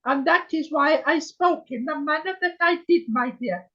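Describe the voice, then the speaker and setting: High soft spirit sister talking real slow and solemn, as a medium channels her, muffled video call. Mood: solemn, tender. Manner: slow, solemn, archaic phrasing, keeps saying my dear. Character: gentle female spirit sister speaking through a medium. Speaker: trance medium.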